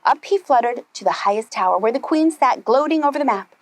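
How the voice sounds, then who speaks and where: Woman, slightly high voice, preschool storyteller, animated children's read-aloud, questions to listeners. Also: a little fast, no echo.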